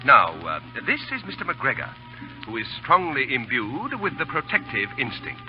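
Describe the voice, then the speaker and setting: Man playing a wry, animated cavalry officer. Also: medium pitch, moderate pace, natural voice. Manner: mock-formal, bantering. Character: wry, teasing, debonair male cavalry officer. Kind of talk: vintage radio drama acting. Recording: muffled old radio recording, music behind.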